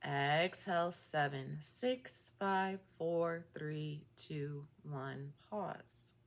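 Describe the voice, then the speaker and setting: Female meditation guide, unhurried and calm, deep voice with vocal fry. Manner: slow, calm counting of breaths. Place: meeting room of a recovery group.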